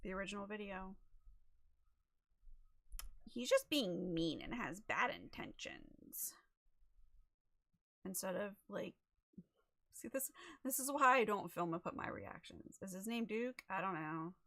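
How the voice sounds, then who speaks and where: A woman chatting casually as she sets up a livestream, a little raspy and losing her voice, rambling with many fillers into a desk microphone. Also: mid-range voice, expressive, unhurried, long pauses, pushed and bright. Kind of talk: casual chat while setting up a stream.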